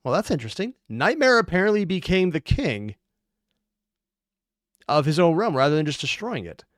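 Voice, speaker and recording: A man's voice: fairly high pitch, big pitch swings, moderate pace, no echo, clean studio sound. A man giving casual, off-the-cuff reaction commentary. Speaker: reaction video host.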